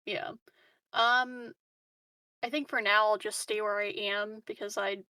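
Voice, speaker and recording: A woman's voice: mid-range voice, fairly even intonation, a little slow, pushed and bright, smooth, no room sound. A woman chatting casually as a player in a tabletop roleplaying game, sounding a little away from the microphone. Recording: distant from the microphone.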